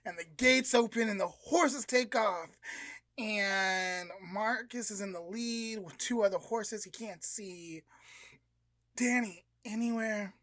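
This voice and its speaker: Woman, casual dramatic storyteller, podcast co-host, unhurried pace, dry close sound. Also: medium pitch, fairly bright tone, no echo. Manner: conversational, dramatic, uses slangy quotes. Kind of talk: informal animated storytelling, acting out dialogue.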